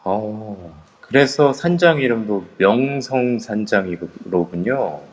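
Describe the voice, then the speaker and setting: A man as a calm, determined young leader. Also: fairly low pitch, slow pace. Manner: polite and firm. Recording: long reverb on a voice chat app.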